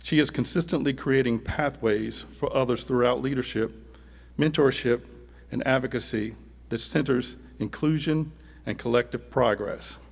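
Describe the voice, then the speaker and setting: A man's voice: medium pitch, moderate pace, vocal fry. A man reading a scripted speech as an award presenter at a ceremony, his voice echoing through a hall.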